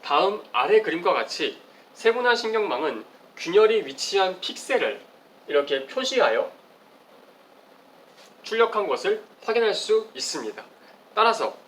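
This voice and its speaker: A man's voice: high-pitched, a bit bright. A man delivers a formal academic presentation as a research presenter, explaining phrase by phrase. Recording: distant mic, faint background noise.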